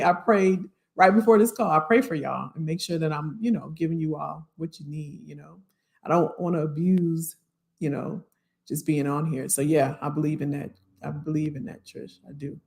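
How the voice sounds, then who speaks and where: An American woman hosting a casual live career Q&A, chatting familiarly with viewers in folksy slang and repeating her phrases, her voice slightly hoarse. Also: on the low side.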